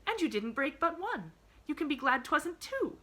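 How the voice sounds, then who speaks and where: Woman voicing a chirpy, high-pitched little girl. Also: sing-song, normal speed, pushed and bright, heavily acted. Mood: cheerful. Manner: eager, rambling, rising inflection. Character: chirpy, earnest, talkative little girl, relentlessly glad.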